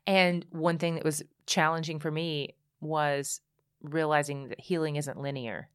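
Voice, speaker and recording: A woman speaking candidly as a podcast guest, telling her story in animated bursts with frequent restarts. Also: low voice, a little slow, smooth, dry recording, clean studio sound. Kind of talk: casual conversation.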